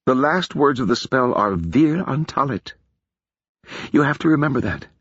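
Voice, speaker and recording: A man voicing a grave, earnest female wizard who speaks slowly and deliberately. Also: mid-range voice, expressive, a bit bright, breathy edge, no echo, voice pushed up. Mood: solemn. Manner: slow, solemn, deliberate. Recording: dull lo-fi recording.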